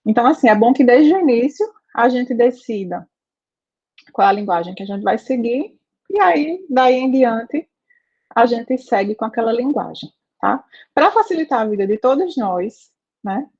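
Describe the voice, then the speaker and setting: A woman's voice: a little high, expressive, moderate pace, a little mellow, husky. A Brazilian woman teaching a programming class, talking things through with students and checking in with "né?" and "tá?". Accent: Brazilian Portuguese. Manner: conversational, frequent "né?" and "tá?" checks. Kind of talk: live online class, asking students questions. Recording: slightly dull lo-fi audio.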